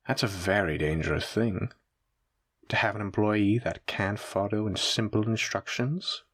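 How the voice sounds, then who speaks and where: Man playing a deep, taunting mafia villain. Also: gravelly, breathy edge, heavily acted. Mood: threatening, intrigued. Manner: slow, taunting, theatrical. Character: menacing, teasing male mafia boss villain with shadow powers. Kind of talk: roleplay audio drama, addressing the listener.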